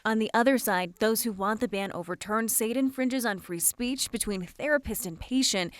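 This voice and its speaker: A woman's voice: on the high side, normal speed, dry recording. An American woman reporter narrating a scripted news package.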